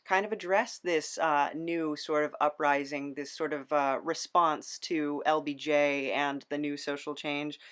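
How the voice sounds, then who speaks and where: Mid-pitched podcast host, halting and thoughtful. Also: steady tone, a bit bright, clear, resonant tone. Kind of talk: casual conversational interview.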